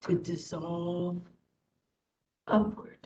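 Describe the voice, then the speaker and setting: A woman instructor leading a guided meditation in short phrases with long pauses. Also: fairly even intonation, dark tone. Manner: slow, pausing between short phrases. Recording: distant mic, dull, faint rumbling noise.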